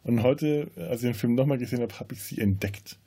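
A man podcast host in a casual film discussion, often halting as he searches for words. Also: mid-range voice, dark tone, husky.

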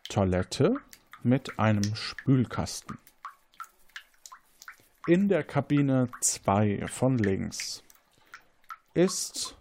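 A man's voice: mid-range voice, darkish tone, slight background noise. A man hosting an interactive crime game, narrating scenes as game master in a partly improvised, playful way.

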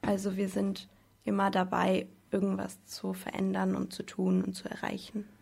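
Teenage girl explaining things, slightly creaky voice. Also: medium pitch, completely flat delivery, normal speed, a little mellow. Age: teens. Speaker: student council representative being interviewed. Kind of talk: interview answers in simple explanatory language.

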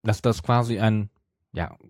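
Low, husky man, casually analytical. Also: a little slow, a little mellow, dry recording, studio quality. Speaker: podcast co-host. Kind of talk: casual fan discussion.

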